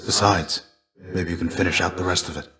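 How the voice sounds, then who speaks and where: A man playing a fatherly, weary shade of a fallen warrior in a video game, speaking in measured, formal, gently archaic phrases. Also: low-pitched, fairly even intonation, music in the background, natural voice. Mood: encouraging, kindly. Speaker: game character voice actor. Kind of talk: scripted video game dialogue.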